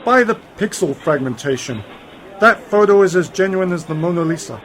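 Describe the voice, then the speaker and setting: Man playing self-assured adventurer uncle, fairly high voice, brisk, knowing, radio play, faint background noise. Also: lively intonation, fairly bright tone. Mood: brisk, insistent. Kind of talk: amateur radio play acting. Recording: faintly noisy home-made radio play recording.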